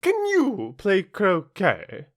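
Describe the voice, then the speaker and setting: A storyteller voicing a furious, imperious queen in a shrill, loud voice, severe and impatient, barking out commands. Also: sing-song, drawn-out words, no room sound, studio quality, heavily acted. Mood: shouting, imperious.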